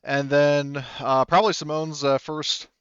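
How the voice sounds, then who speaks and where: A man's voice: a little high, level tone, unhurried, smooth, no room sound. A man speaking casually as game master of a tabletop game, explaining things with hesitant asides. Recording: muffled, slightly lo-fi voice chat mic.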